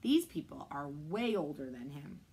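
Librarian in her thirties, steady read-aloud voice, camera mic in a library room. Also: deep voice, breathy edge. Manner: steady, measured storytelling. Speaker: children's librarian. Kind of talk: reading a chapter book aloud.

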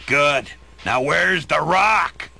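Evil reptile monarch: grandiose and menacing, high-set gravelly voice, radio drama. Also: male voice, animated, sharp tone, full character voice. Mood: impatient. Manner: theatrical, sneering, drawn-out delivery. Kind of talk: radio play acting.

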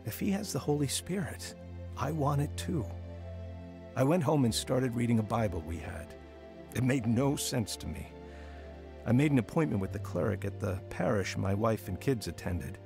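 A man's voice: mid-range voice, creaky. An actor playing a proud, remorseful husband and father who tells his own story in an audio drama. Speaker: lead actor and first-person narrator. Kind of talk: dramatised testimony radio drama.

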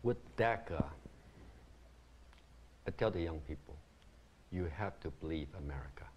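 A man's voice: extremely low pitch, a little slow, a little hiss or hum. A middle-aged man from Taiwan, a community founder, answering interview questions earnestly in an ornate living room. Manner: animated, gestures with hands while explaining.

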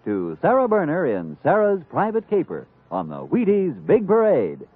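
An American man delivers an old radio commercial with hugely swooping pitch. His voice is fairly high and husky, emphatic and salesy. The recording is muffled. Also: wildly swooping pitch, moderate pace. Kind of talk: 1950s radio advertisement, upbeat slogans.

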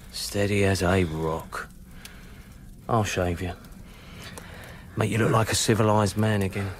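An actor playing a chummy yet dangerous British scavenger in a radio drama, in clipped, sardonic, mock-friendly phrases. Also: male voice, fairly low pitch, slight background noise.

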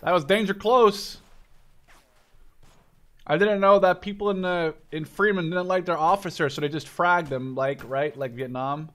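A man in his twenties talks through a video game in a fairly high voice. His commentary is casual and rambling, with sentences that interrupt themselves and loose, sweary language. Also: moderate pace, fairly bright tone. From Canada or the Netherlands. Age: 20s. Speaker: gaming video creator.

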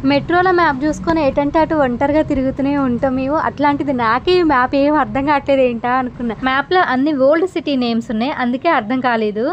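A woman's voice: high voice, quick pace, few pauses, dull audio, a little hiss or hum. A young adult woman, narrating an informal travel vlog and mixing in English terms. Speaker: travel vlogger.

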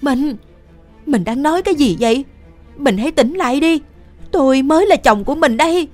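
A woman voicing a devoted, poor young husband in an animated fairy tale, earnest and pleading. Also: sits high, moderate pace, soft background music, pitched above the performer's own voice. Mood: distressed pleading.

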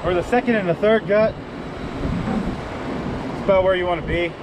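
A man's voice: sits high, fairly even intonation, moderate pace. A man hosting a fishing vlog, chatting casually and explaining things in a laid-back, slangy way, recorded outdoors on a beach. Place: open windy beach by the surf. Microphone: action camera outdoors, a few steps away. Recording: loud wind and surf noise.

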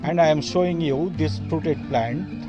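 An older Bengali-accented man talks outdoors on a rooftop into a phone held at arm's length. His voice sits a little high for a man, and his phrasing is earnest and repetitive. Soft music plays faintly behind him. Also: measured pace, dull audio. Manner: earnest, repetitive phrasing, addresses viewers as dear friends. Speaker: gardening channel host. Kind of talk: casual gardening vlog tour.

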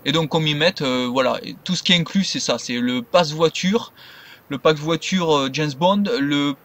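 A man's voice: a little high, moderate pace, fairly bright tone. A man giving casual, unscripted game commentary, rambling and stumbling over his words. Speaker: gaming video creator. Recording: noisy home recording.

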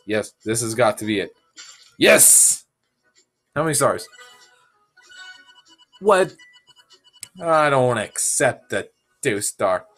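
Slow, goofy young man gaming. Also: medium pitch, gravelly, a bit bright. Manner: goofy, self-mocking, talks to viewers. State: sick, voice deeper than usual. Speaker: gamer. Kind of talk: casual gameplay commentary.

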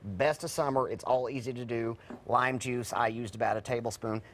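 A man's voice: mid-range voice, fairly even intonation, moderate pace, a little hiss or hum. A middle-aged man, a guest chef on a TV studio kitchen set, chatting easily as he explains a recipe into a lapel mic. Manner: easygoing, chatty, explaining as he preps food. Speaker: cooking segment guest chef. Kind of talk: live TV cooking demo.

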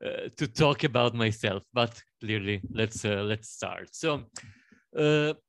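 A man in his thirties with an Italian accent, introducing himself on a panel in a halting way, with many fillers and restarts. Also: fairly low pitch, creaky, dry recording, clean studio sound. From Italy. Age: 30s. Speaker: panel guest.

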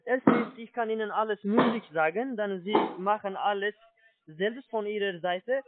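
A high-voiced man, not a German speaker, works as a call-centre agent. He gives polite, halting step-by-step instructions over a dry, muffled telephone line. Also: no echo. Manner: polite, halting, with repeated confirmations. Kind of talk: salesman giving step-by-step instructions on a phone call.

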